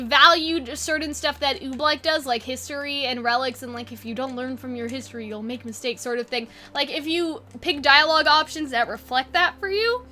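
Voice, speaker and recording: A woman's voice: high voice, bright, forceful tone, a little hiss or hum. A woman chatting casually and informally, thinking aloud like a video creator brainstorming with fans. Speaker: online video creator and host. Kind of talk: casual fan brainstorming chat.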